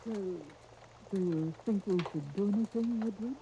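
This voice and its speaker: An actress playing an anxious, timid middle-aged aunt in a 1930s radio serial, fretful and hesitant. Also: female voice, medium pitch, a little slow, natural voice. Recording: noisy old 1930s radio disc recording.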